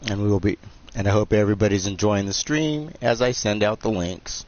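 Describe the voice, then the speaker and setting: A man's voice: lowish voice, brisk, a little mellow. A man hosting a live game stream, chatting casually in a nasal, joking, self-deprecating way. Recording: dull lo-fi stream mic with faint hiss.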